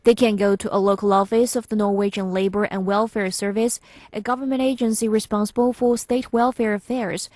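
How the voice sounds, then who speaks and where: Dry, measured female news reporter. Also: medium pitch, a little mellow, no echo. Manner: measured, formal reading. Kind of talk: scripted news narration.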